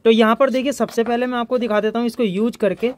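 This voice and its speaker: A man's voice: very high-pitched, fairly even intonation, fast talker, dry recording. A man from India, presenting an informal hands-on electronics tutorial as its host.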